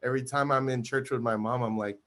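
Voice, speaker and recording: A man's voice: mid-range voice, steady tone, fast, fairly bright tone. A man co-hosting a podcast, reacting with short, encouraging remarks and chatting casually. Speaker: podcast co-host.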